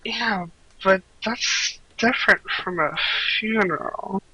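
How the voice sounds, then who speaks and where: A man's voice: high voice, takes it slowly, bright, forceful tone, husky, dry recording, rough recording. A man co-hosting a podcast, chatting casually in a group voice call. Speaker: podcast co-host. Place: voice chat for a live online show.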